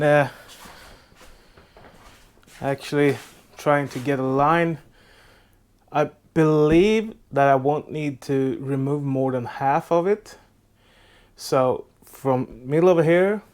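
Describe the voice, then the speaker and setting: A man with a Swedish accent who speaks English as a second language, explaining his boat repairs in a casual how-to vlog. His voice sits a bit high and he talks slowly, filling pauses with frequent uhs and restarting his sentences. He is recorded in a makeshift workshop. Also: darkish tone, smooth. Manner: halting, many filler uhs and restarts. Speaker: boat restoration vlogger.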